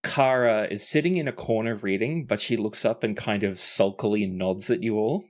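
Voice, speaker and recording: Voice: medium pitch, moderate pace, darkish tone. Game master narrating a tabletop adventure. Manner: conversational storytelling, voices characters' lines in passing.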